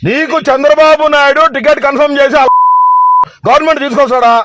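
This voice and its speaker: A man's voice: very high voice, fairly even intonation, fast, very noisy. A man arguing heatedly over the phone, threatening and insistent, repeating himself. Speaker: political party loyalist on a phone call. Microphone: phone call.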